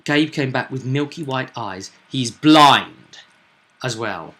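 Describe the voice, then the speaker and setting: Bright-voiced man talking tabletop games. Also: medium pitch, animated, slow. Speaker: game master. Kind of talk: casual discussion of a tabletop roleplaying game.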